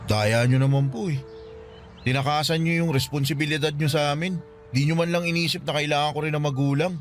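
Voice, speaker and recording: A man's voice: a little high, fairly even intonation, fairly quick, natural voice. A man playing a hurt teenage son, resentful and pleading, in a radio drama. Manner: pleading, resentful, polite to elders. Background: music.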